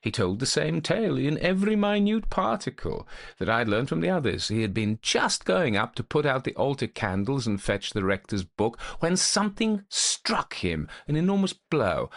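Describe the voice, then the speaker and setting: A man playing a gentlemanly, methodical investigator of hauntings, recounting his case in a formal, old-fashioned storytelling manner. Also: mid-range voice, sing-song, moderate pace, creaky. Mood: animated recounting. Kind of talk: dramatic first-person storytelling, old-fashioned ghost story.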